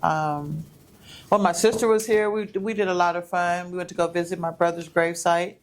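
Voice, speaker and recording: A woman's voice: lowish voice, fairly even intonation, fairly quick. A woman in her thirties co-hosting a podcast in casual chat, playful and teasing, laughing often. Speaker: podcast co-host.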